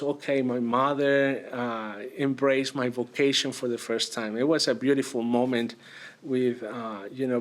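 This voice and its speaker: Reflective priest from Argentina. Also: male voice, mid-range voice. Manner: unhurried, reflective, with frequent pauses and hesitations. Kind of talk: personal story in an interview.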